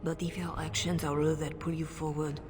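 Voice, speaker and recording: An actress playing a grave, principled churchwoman who guards secrets, speaking in measured, formal words in a video game. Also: low-pitched, little pitch movement, big-room sound, music in the background, lightly acted.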